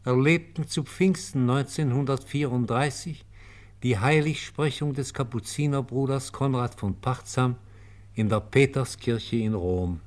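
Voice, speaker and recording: A man narrating a radio play in a solemn, measured, reverent way. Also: mid-range voice.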